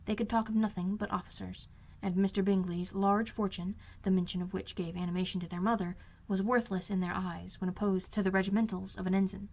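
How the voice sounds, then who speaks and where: Woman narrating a classic novel, mid-pitched. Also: little pitch movement, fairly quick, few pauses, a little mellow. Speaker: amateur audiobook reader. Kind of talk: scripted literary narration.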